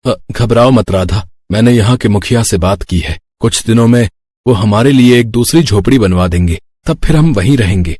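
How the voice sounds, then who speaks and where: Man acting a low-voiced, reassuring young village husband. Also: normal speed, a little mellow, dry recording, studio quality, close to an everyday voice. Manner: earnest, soothing.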